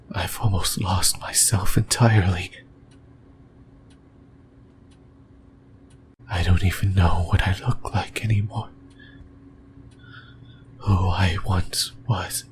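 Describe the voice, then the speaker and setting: A man voicing a lonely, possessive demon in a breathy, brooding whisper for an ASMR roleplay. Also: sits low, fairly even intonation, background noise. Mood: anguished, fearful. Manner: slow, halting, brooding delivery. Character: lonely, possessive sleep paralysis demon, lost and yearning.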